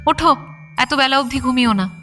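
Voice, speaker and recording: Radio drama heroine: teasing young woman, high, bright, strong-willed, light music behind. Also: moderate pace. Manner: playful, expressive.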